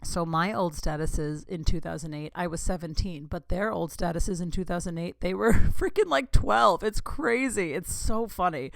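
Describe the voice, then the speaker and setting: A woman's voice: lowish voice, normal speed, creaky, few pauses, dry recording. A woman in her thirties hosting her own podcast, chatting in a rambling, giggly way with lots of asides. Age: 30s. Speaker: podcast host. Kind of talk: casual solo podcast chat.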